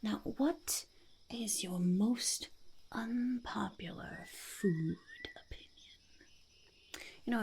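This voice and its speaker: A woman voicing an eccentric, self-important goblin in a whispered ASMR roleplay, breathy with creaky edges, fussy, hesitant and repetitive. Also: fairly low pitch, exaggerated voice. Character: eccentric, self-important goblin overlord interviewing a would-be sidekick.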